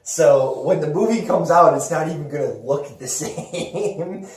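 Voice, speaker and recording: A man's voice: on the high side, measured pace. A man co-hosting a casual movie and gaming chat, talking in a slangy, sweary way. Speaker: podcast host. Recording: echoey room, distant mic, hissy noise.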